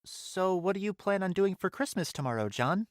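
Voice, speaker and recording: A man's voice: high voice, expressive, moderate pace, no echo. A young man playing a dutiful, lonely teenage son in a fan audio drama, polite and earnest.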